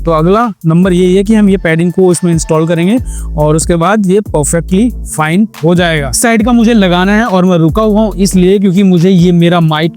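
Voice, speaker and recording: A man's voice: high voice, brisk, a little mellow, faint music behind. A man narrating a casual hands-on how-to as a vlog host, explaining step by step and mixing in English words. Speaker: motorcycle vlogger and tutorial host.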